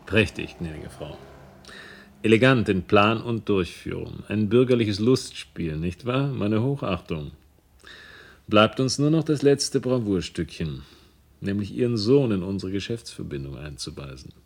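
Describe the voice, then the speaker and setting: A suave villain in a radio play. His low, creaky voice delivers elaborate courtesies with sardonic relish. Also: expressive, measured pace, exaggerated voice. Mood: mocking, suave. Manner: mockingly courteous, smooth-talking. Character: suave, sardonic criminal business partner. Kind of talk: German radio drama acting.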